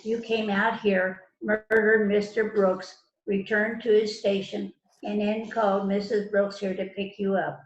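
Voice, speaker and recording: A woman's voice: mid-range voice, flat delivery, lightly acted. A woman playing a stern police detective in an amateur mystery reading, stumbling and repeating words as she reads. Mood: accusing. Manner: halting read-aloud delivery with stumbles and repeats. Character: stern female police detective questioning suspects. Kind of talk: amateur readers theater mystery. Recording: echoey, muffled, noisy online call.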